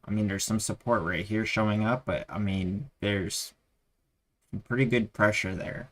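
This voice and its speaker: Tired, hoarse-sounding male streamer. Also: on the low side, fairly even intonation, a bit bright. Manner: rambling, halting, many fillers. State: tired, losing his voice. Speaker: stock trading streamer. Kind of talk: casual live-stream chat.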